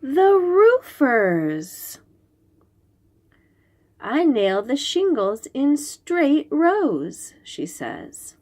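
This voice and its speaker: Female storytime reader for preschoolers: slow delivery, very lively intonation, simple explanatory, questioning manner. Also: sits high. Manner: instructive, questioning, enunciates key words for small children. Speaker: host reading picture books aloud to young children.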